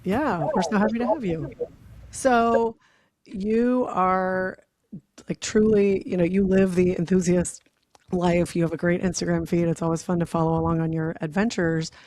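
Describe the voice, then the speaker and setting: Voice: mid-range voice, fairly even intonation, moderate pace, a little mellow. A podcast host welcoming a guest and asking questions in a casual, upbeat manner. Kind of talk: casual interview hosting.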